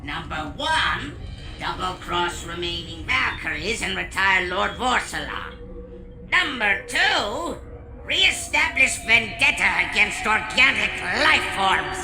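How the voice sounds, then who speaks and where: A man's voice: sits high, sing-song, pushed and bright, soft background music, exaggerated voice. An actor voicing a megalomaniac robot villain in a video game, theatrical, vengeful and grandiose, drawing out his words. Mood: scheming. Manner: grandiose, drawn-out delivery. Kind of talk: video game cutscene acting. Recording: reverberant, hall-like echo.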